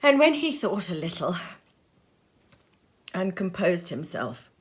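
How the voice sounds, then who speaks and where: Expressive woman reading a classic story. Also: on the low side, takes it slowly. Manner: expressive, feeling. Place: sitting room.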